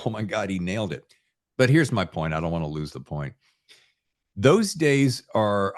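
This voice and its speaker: A middle-aged man hosting a casual podcast chat, rambling and salty-tongued as he tells his stories. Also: lowish voice. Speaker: podcast host.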